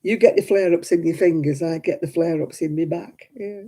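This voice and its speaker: A woman in her seventies hosting a crafting livestream, chatting homely and warmly with viewers by name and laughing often. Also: lowish voice, normal speed. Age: 70s. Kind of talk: casual chat reading out viewer comments.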